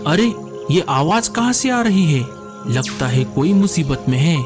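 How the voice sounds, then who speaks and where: A man's voice: fairly high pitch, very animated, a little slow, over music. A man voicing an earnest, fretful male forest bird in a cartoon, exclaiming and thinking aloud. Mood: alarmed. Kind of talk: Hindi cartoon dialogue acting.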